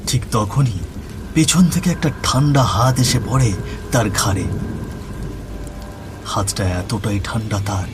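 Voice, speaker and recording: A man's voice: fairly low pitch, measured pace, pauses often, noisy background. A man narrating a scripted horror story as the storyteller. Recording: music bed with rain and thunder.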